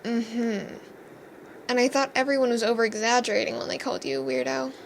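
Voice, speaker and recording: A woman's voice: fairly high pitch, steady tone, a little fast, creaky, a little hiss or hum. A woman playing a casual, dryly teasing young fairy heroine in a scripted fantasy roleplay. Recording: music behind the voice.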